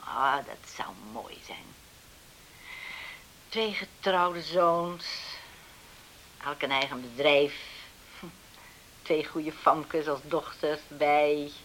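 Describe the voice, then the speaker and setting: Radio play role: anxious middle-aged farm widow, lowish female voice with a bright, forceful edge, earnest and confiding. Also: steady tone, very slow, pauses often, a little hiss or hum, exaggerated voice, rough recording. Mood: wistful. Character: worried widowed farm mother dreaming of settled married sons. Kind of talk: Dutch radio drama acting.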